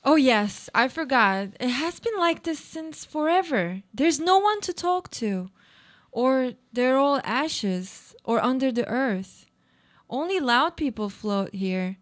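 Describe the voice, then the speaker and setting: A woman's voice: on the high side, lightly acted. A woman playing an anguished, obsessive housebound woman in a live radio play, with a theatrical, brooding delivery.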